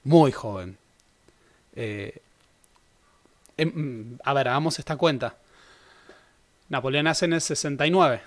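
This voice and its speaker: A man's voice: medium pitch, takes it slowly, long pauses. A young man giving a casual, conversational history lecture. He corrects himself often and adds joking asides. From Argentina. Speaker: history teacher.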